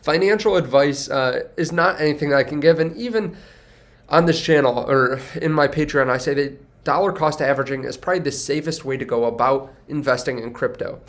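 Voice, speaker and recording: Stuffy-nosed young guy chatting about money to camera, slightly high voice, keeps restarting his sentences. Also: a little fast. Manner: rambling, self-correcting, upbeat. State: congested from a cold. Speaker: finance video host. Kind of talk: casual talk to camera.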